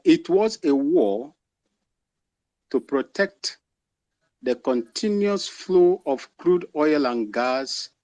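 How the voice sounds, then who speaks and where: A man with a Ghanaian accent, moderating a live discussion and putting questions to a guest in a measured, deliberate way. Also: fairly high pitch, dark tone, no room sound. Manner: measured, deliberate, slows for emphasis.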